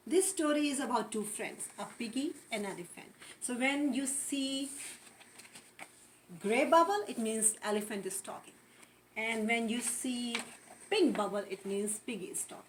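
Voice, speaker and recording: A woman's voice: a little high, measured pace, slight background noise, rough recording. A woman narrating as a storybook reader, introducing and reading aloud for children.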